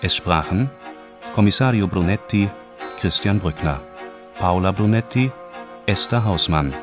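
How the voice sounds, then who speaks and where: A man reading scripted radio drama credits as an announcer, in a measured, formal style. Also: deep voice, animated. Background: music.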